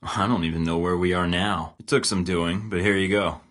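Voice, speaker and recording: A cocky young secret agent from a video game, tossing off dry sarcastic one-liners. The voice sits low, deep in the chest, and the read is close and dead dry with no room around it. He sounds unbothered, a wisecracking spy. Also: fairly even intonation, moderate pace, a bit bright, dry recording. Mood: wry. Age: young adult. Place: recording studio booth. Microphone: close studio mic.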